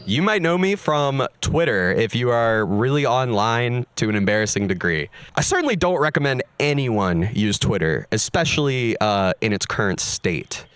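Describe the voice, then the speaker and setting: A man's voice: mid-range voice, sing-song, moderate pace, few pauses, a bit bright, smooth. A young man, a streamer and musician, narrating his own story in a candid, wry, self-mocking way. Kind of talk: personal narration to camera.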